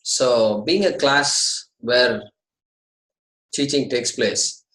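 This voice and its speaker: Brief: Indian man in his fifties, courteous teacher on a video call, mid pitch, roomy echo. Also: very slow, a bit bright. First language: Tamil. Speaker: Sanskrit teacher. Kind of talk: online class orientation talk. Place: his apartment. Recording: echoey room over video call.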